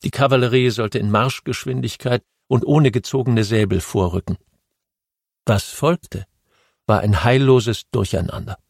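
A man narrating a history audiobook in a measured, steady storytelling manner. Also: medium pitch, vocal fry, dry recording, clean studio sound.

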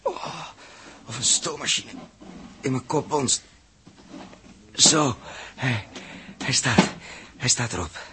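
An actor playing a strained, exhausted but dogged spaceship captain in a 1960s radio drama, halting and repeating himself. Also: male voice, medium pitch, bright, forceful tone. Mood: strained, urgent. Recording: slightly noisy 1960s radio recording.

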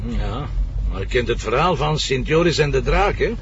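An actor playing a sardonic, henpecked Flemish police inspector, grumbling wryly in a TV crime drama. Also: male voice, mid-range voice, expressive, moderate pace, a bit bright, lo-fi sound, a little hiss or hum. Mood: wry gloom. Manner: wry, grumbling.

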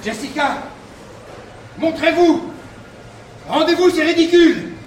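A man's voice: extremely high pitch, slow pace, recorded from a distance. An actor playing a sardonic, exasperated male police inspector who swears freely, in a comic audio drama. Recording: roomy, off-mic, noisy action-scene sound.

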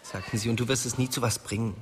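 A man's voice: medium pitch, steady tone, quick pace, a little hiss or hum, close to an everyday voice. An actor playing a troubled, sullen young man with a crude manner in a radio drama. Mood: downcast.

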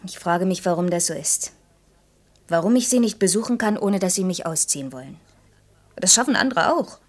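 A woman's voice: fairly low pitch, animated, normal speed. A young actress playing a proud, outspoken young working woman in an intimate German radio drama, earnest and reproachful. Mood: defiant. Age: young adult. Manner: earnest, reproachful, shifting between insistent outbursts and hushed confiding.

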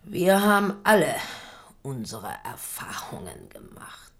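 An actress playing an old, bent and bitter queen mother in a radio drama, with a gravelly, often whispered voice. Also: low voice, very animated, slow, exaggerated voice. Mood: sharp. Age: older. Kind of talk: 1970s radio drama acting.

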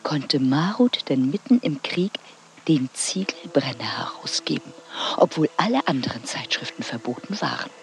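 Gravelly, swooping old widow. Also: female voice, low-pitched, expressive, normal speed, a little hiss or hum. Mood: sly, defensive. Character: spirited, evasive old widow of a secretive writer. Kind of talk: German radio drama acting.

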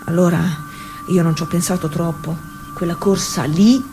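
A woman's voice: lowish voice, fairly even intonation, moderate pace, noisy background, natural voice. A woman playing a proud, stubborn Italian racing cyclist of the early twentieth century in an emphatic, colloquial theatre monologue. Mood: proud, defiant. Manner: emphatic, colloquial storytelling addressed to an absent listener.

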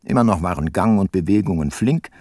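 An actor playing a wry, unhurried middle-aged private detective in a radio drama, with a dry, laconic, ironic manner. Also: male voice, low-pitched, lively intonation, a little mellow, no echo. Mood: wry, reflective. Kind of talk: radio drama narration and dialogue.

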